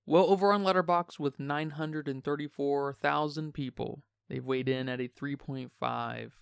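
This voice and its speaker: A man's voice: a little high, steady tone, moderate pace, gravelly, clean tone, no room sound, studio quality. A man hosting a podcast, casually discussing a film and addressing his listeners. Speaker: podcast host.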